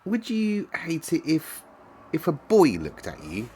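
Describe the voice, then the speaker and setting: A man's voice: mid-range voice, sing-song, a little slow, a little hiss or hum, voice pushed up. A man voicing a frank, curious young girl from a storybook, direct and inquisitive in manner. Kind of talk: storybook character reading.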